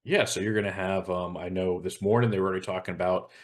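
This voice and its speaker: American male, very low register. Hazmat specialist on a panel, informal but measured and matter-of-fact. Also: steady tone. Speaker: hazardous materials expert on a panel show. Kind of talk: informal explanatory discussion.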